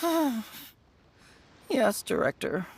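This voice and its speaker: A woman's voice: a little high, sing-song, drawn-out words, a little hiss or hum, heavily acted. A woman playing a hesitant, long-suffering funeral parlor assistant in a video game, doubtful and resigned.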